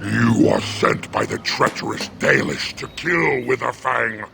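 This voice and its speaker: An actor playing a fierce, loyal werewolf guardian in a video game, growling solemn threats in a gravelly voice. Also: male voice, medium pitch, lively intonation, noisy background. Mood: defiant. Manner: slow, solemn, threatening speech. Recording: game audio with music underneath. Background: game music.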